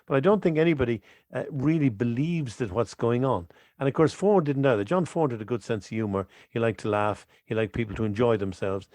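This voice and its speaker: Fast-talking Irish man. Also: medium pitch, darkish tone, no echo. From west of Ireland. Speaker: podcast guest, film expert. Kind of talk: conversational interview commentary.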